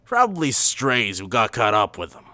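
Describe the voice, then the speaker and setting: A man's voice: medium pitch, wildly swooping pitch, normal speed, bright, forceful tone, no room sound, pitched above the performer's own voice. A man voicing a foul-mouthed, power-hungry gangster boss with a blunt, menacing swagger. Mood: commanding.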